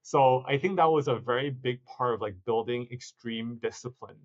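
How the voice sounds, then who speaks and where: Founder guest, male, mid pitch, reflective and halting, dull lo-fi audio. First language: not stated. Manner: reflective, many restarts and self-corrections. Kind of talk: conversational interview answers.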